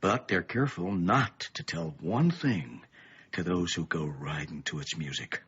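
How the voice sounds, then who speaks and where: An actor playing a weary middle-aged, bookish father in a radio play, grave and thoughtful, with a husky voice and a measured, ominous way of explaining. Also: low voice, animated, fairly bright tone, exaggerated voice. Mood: brooding. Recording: dull, muffled lo-fi radio play.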